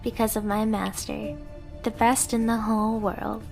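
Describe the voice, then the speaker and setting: Casting: female actor for submissive, devoted catgirl in ASMR romance. Tender, pleading, unhurried delivery over background music. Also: fairly high pitch, little pitch movement, creaky. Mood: surprised, excited. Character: devoted, submissive young female catgirl pet, clingy and eager. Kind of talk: scripted ASMR romance roleplay.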